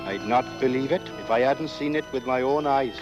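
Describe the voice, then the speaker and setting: An actor playing an excitable, deferential village constable with a British accent and a rambling, folksy way of talking. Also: male voice, mid-range voice, fairly quick, over music, natural voice. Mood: amazed. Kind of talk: 1970s horror comedy film acting. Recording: muffled old film soundtrack.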